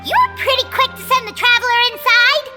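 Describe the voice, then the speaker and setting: A woman voicing a tiny floating female companion in a game dub, talking in high, squeaky, excitable chatter. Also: a little fast. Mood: chirpy. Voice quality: high squeaky chatter, calls herself by name. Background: game music.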